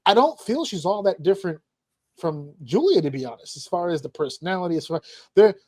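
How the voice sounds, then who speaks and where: A man's voice: high-pitched, expressive, brisk, dark tone, no echo. A man hosting a casual wrestling panel chat, joking crudely with his guests. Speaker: podcast host.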